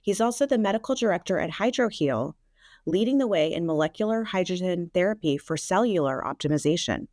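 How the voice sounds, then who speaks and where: A woman's voice: lowish voice, animated, brisk, darkish tone, no room sound, studio quality. A woman hosting a podcast, introducing her guest enthusiastically and asking interview questions. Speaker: podcast host.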